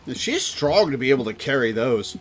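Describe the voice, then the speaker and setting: A man's voice: mid-range voice, very animated, brisk, a little hiss or hum. A man streaming live reaction commentary, dropping pedantic asides.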